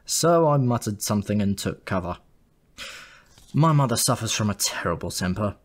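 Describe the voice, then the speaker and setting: A man's voice: lowish voice, animated, moderate pace. A man reading a comic first-person story as an audiobook narrator, in a wry, dry manner.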